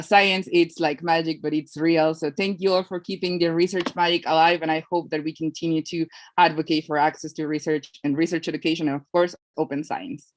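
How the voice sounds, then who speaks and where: A woman announcing research award winners on a video call, playful and laughing between lines. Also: low voice.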